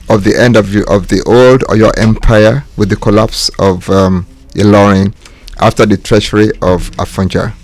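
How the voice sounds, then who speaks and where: Male author as radio guest, lowish voice, deliberate explanatory delivery with pauses. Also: no echo. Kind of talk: explanatory talk on history.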